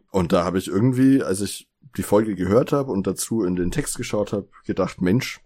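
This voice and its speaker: A man's voice: sits low, moderate pace, mellow. A man co-hosting a podcast in casual, teasing conversation, often chuckling. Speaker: podcast co-host.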